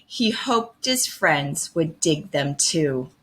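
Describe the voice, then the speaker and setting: A woman reading a children's picture book aloud in an expressive, deliberate way. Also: sits low. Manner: slow, expressive, deliberate phrasing. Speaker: storybook reader.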